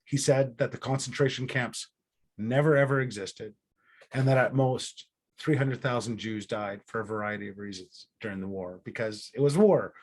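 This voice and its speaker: A middle-aged man gives a personal talk as a guest speaker, in a voice of average pitch. He tells his story earnestly and deliberately, setting off key phrases with dramatic pauses. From born in Israel, grew up in Alberta, Canada.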